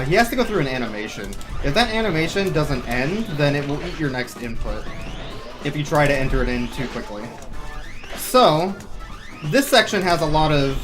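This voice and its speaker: A man talks casually through a live speedrun in a medium-pitched voice. He explains things as he goes with a wry edge, while game music plays clearly behind him.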